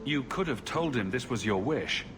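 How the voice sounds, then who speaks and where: Male actor as calm adventurer hero, polite, measured, middle pitch, faint ambient noise, fantasy game. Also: roomy sound, natural voice. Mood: calm, considerate. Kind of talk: fantasy video game acting.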